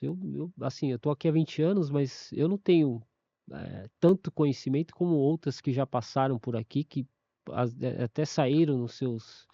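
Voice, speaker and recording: A man's voice: mid-range voice, gravelly, a little mellow, no echo, muffled recording. A man, a university staff member giving a casual testimony, often stumbling, restarting and repeating words.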